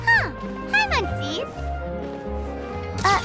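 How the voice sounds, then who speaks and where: A woman voicing a tiny childlike fairy companion in a video game, squeaky, boastful, protective and excitable. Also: drawn-out words, exaggerated voice. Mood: curious, puzzled. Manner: refers to herself in third person, exclamatory. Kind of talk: anime-style game voice acting. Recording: music behind the voice.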